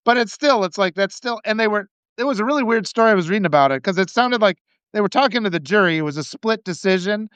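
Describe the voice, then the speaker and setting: A man from Wisconsin hosting a casual political talk, animated and swearing, acting out the people he quotes. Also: sits high, fast, clean tone, no echo. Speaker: host. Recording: muffled lo-fi sound.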